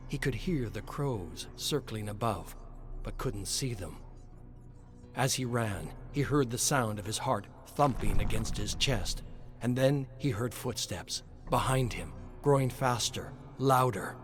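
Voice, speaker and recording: A man's voice: medium pitch, measured pace. A man reading scripted, dramatic horror narration. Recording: music under the voice. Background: music.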